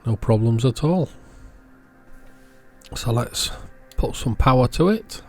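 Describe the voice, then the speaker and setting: A man's voice: mid-range voice, lively intonation, takes it slowly, a little mellow, faint music behind. A man giving an informal how-to voice-over in short, matter-of-fact sentences, as a repair channel host.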